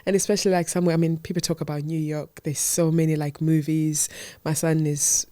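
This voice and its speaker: Low, mellow woman in her thirties from Zimbabwe, podcast co-host, eager and chatty, dry close sound. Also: level tone, a little fast, no room sound. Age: 30s. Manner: enthusiastic interviewer, laughs often. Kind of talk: casual chat.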